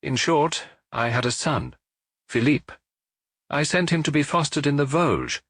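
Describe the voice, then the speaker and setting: A man voicing a blunt, unsentimental middle-aged woman who runs a hair salon, speaking in a flat, matter-of-fact way. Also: on the high side, a little slow, slightly rough, no echo, studio quality, voice pushed up, exaggerated voice.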